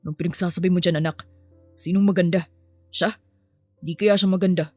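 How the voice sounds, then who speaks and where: A woman's voice: lowish voice, steady tone, a little fast, a little mellow, no room sound, lightly acted. Woman as guarded male boss and father, grumpy, curt, defensive, audio drama acting, faint music. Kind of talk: acted audio story dialogue.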